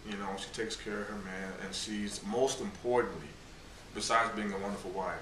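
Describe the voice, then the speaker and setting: A man's voice: lowish voice, steady tone, a little fast. A man in his thirties chatting casually and joking about his wife, in a laid-back, slangy way. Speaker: husband answering tag questions. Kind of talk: casual chat. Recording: echoey room, mic at a distance, background noise.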